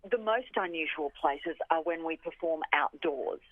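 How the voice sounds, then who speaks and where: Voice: medium pitch, moderate pace, dry recording. Arts spokesperson for radio interview, phone-quality sound, keen explainer who stresses points by repeating. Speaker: orchestra representative being interviewed.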